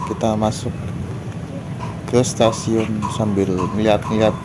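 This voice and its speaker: Hoarse man vlogging at a station. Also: on the low side, takes it slowly, background noise. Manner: relaxed, chatty commentary on surroundings. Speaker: travel vlogger. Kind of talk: casual travel vlog narration. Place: outdoors at a train station. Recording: loud background music.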